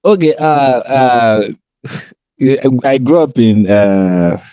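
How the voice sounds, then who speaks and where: A man's voice: medium pitch, expressive, drawn-out words, warm tone, smooth, no room sound. A man from Nigeria with a Nigerian accent, talking conversationally on a podcast and explaining his points with frequent check-in phrases. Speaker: podcast co-host.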